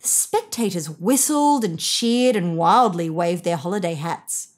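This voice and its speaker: A woman in her thirties reading a picture book aloud to children in an expressive, measured way. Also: lowish voice. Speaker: storybook reader. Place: indoors, seated on a sofa.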